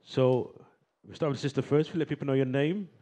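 A male event host who announces the question-and-answer session in a relaxed way, with some "um"s. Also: mid-range voice, brisk. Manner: relaxed, hesitant with fillers. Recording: voice from far off in a room.

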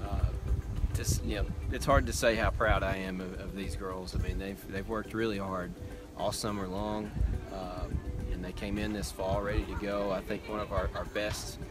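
A low-voiced man in his thirties talks haltingly after a golf tournament, restarting his sentences with fillers. He is outdoors on a course, with loud music playing under him. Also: little pitch movement. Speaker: college golf head coach. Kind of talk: postmatch interview.

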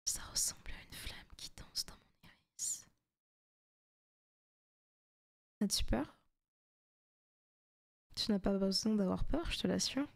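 A woman playing a seductive, possessive demon in a soft, teasing ASMR roleplay, often slipping into whispers. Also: mid-range voice, a little slow, vocal fry, long pauses. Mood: coaxing, tender. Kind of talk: ASMR roleplay, intimate scripted monologue.

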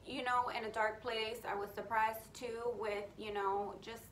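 A young woman with a deep, raspy voice, talking openly to camera from her bedroom in a casual, rambling way with lots of "you know". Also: flat delivery, a little slow, fairly bright tone, roomy sound, slight background noise. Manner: open, rambling, heartfelt, many fillers like "you know". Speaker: beauty influencer. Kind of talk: candid sit-down vlog to camera. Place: bedroom at home, indoors. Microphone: camera in front at close range.

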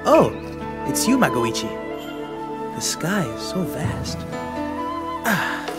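A brash young samurai warlord from a video game, ambitious and boastful. His pitch swings widely as he declaims his lines, with music behind him. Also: sing-song, takes it slowly. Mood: wistful, hopeful. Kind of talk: dramatic video game acting.

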